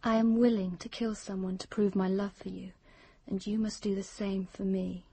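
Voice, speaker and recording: An actress in a radio drama playing an intense, obsessive young woman lover, earnest and solemn, with a soft breathy voice. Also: medium pitch, level tone, moderate pace, dry recording. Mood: cold, insistent.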